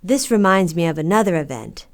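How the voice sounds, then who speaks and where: A woman playing a mother and nun in an audio drama, with a deliberate, dramatic delivery. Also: on the low side, animated. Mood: reflective, wistful. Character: stern, busy mother, later a reflective nun.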